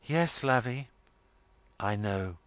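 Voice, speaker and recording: Guy doing a sincere young woman in a storybook, gentle but firm, takes it slow. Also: mid-range voice, expressive, no echo. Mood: earnest resolve. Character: affectionate, frank young woman, daughter of the family.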